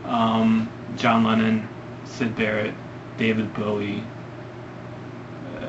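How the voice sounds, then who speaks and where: A man's voice: lowish voice, monotone, takes it slowly. A young man from Ohio answering viewer questions in a casual vlog, hesitant and rambling with many ums. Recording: lo-fi, noisy home recording.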